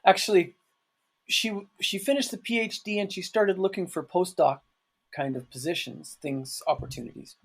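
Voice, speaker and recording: A man, a guest on a podcast, talking on a call from his office in a halting way, full of restarts. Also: high voice, fairly even intonation, creaky, husky. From Canada. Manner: halting, with restarts and "you know, like". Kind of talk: casual interview on a call.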